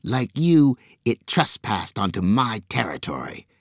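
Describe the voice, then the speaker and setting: A man voicing a menacing part-human squid monster that hisses and snarls slowly. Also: on the low side, very animated, dry recording, clean studio sound, full character voice. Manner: slow, hissing, snarling.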